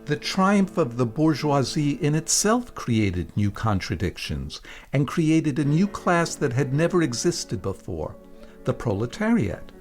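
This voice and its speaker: A man reads scripted radio narration at a middling pitch. His delivery is measured and explanatory, like a presenter setting up a reading. Faint music plays behind him. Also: a little mellow.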